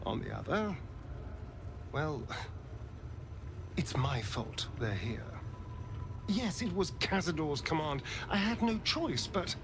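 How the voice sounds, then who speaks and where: Game role: sardonic, wounded English vampire man, slow and theatrical, noisy background. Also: a little high, very animated, heavily acted. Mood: weary, conflicted. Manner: theatrical, drawling, sighing. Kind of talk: dramatic video game acting.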